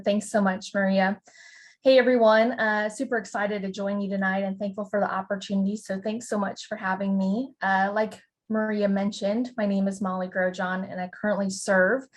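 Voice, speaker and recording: A woman's voice: medium pitch, fairly even intonation, normal speed. A young woman from Indiana, a college senior and student board president, giving an upbeat, polished introduction over a video call. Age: young adult. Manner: upbeat, polished, lightly scripted. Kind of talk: prepared panel introduction.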